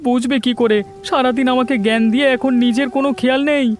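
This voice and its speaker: High-pitched indignant village husband played by a man. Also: steady tone, fast. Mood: worried, scolding. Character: aggrieved, quarrelsome village husband, vengeful and indignant. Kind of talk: Bengali cartoon dialogue acting.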